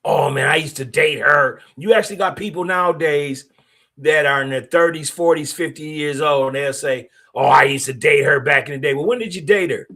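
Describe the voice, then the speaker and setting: A man's voice: fairly high pitch, a little fast, pushed and bright. A middle-aged man, hosting a podcast and speaking bluntly in a streetwise, opinionated way. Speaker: podcast host. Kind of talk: casual monologue podcast.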